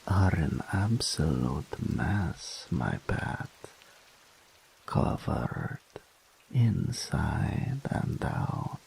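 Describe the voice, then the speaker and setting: Deep growly incubus demon guy, a man doing the voice, super slow, purrs and whispers, possessive and doting. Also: creaky, darkish tone, a little hiss or hum, full character voice, rough recording. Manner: slow, purring, frequently dropping to a whisper. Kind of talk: audio roleplay.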